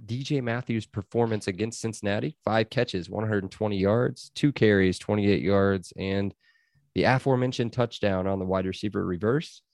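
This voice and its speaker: Low-voiced man running a sports podcast. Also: a little mellow, no room sound. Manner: runs the segments, reacts with quick laughs and curses. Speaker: podcast host. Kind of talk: casual sports chat.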